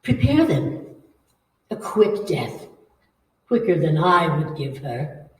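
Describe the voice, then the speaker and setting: Female ghost, played by an actress, taunting and commanding, swooping pitch, distant echoey sound. Radio horror play. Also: very animated, darkish tone, full character voice. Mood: menacing. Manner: slow, commanding, taunting, theatrical. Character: menacing, coaxing female ghost hungry for blood and life. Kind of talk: old-time radio horror acting. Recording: echoey room, far from the mic.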